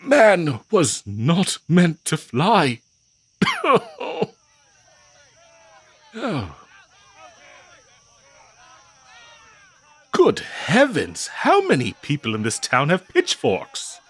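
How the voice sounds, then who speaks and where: Man playing a flustered, theatrical Victorian gentleman husband. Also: on the high side, huge pitch swings, drawn-out words, slightly rough, exaggerated voice. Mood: panicked, dramatic. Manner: theatrical, verbose, nervously stammering and hesitant. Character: flustered, devoted 19th-century gentleman husband of a werebat. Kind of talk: comedic audio roleplay in old-fashioned diction.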